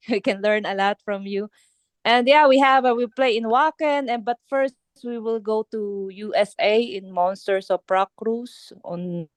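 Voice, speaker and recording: A young woman with a Filipino accent, a singer answering interview questions in halting English, with many pauses and restarts. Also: mid-range voice, darkish tone, clear, resonant tone, no echo. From Philippines. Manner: halting, searching for words, many fillers and restarts. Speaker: band singer being interviewed. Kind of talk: casual interview chat.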